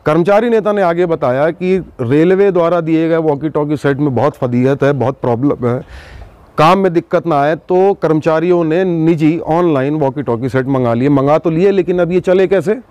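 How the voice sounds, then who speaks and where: A man hosting an investigative news show, speaking emphatically to viewers with dramatic pauses and rhetorical questions. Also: on the high side, darkish tone, dry recording, muffled recording. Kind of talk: news exposé monologue to viewers.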